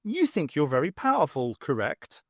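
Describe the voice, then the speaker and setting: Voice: mid-range voice, wildly swooping pitch, normal speed, no echo, studio quality. A reader voicing a flustered, sardonic woman adventurer who is sarcastic and prone to exclamations. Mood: defiant.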